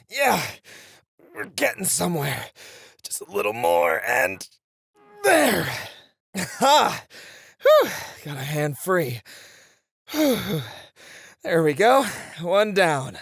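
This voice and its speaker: Cocky, flirty ninja played by a man, lively delivery. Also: high-pitched, very slow, vocal fry, pauses often, husky, no echo, full character voice. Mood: focused, straining. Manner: teasing, breezy banter. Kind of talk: audio roleplay acting.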